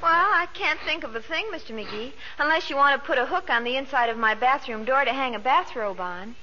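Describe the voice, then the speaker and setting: A sweet, guileless young lodger in a 1940s radio comedy. The voice is high and bright, polite and earnest to the point of naivety. It sits in a dull old recording. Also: female voice, brisk, slight background noise.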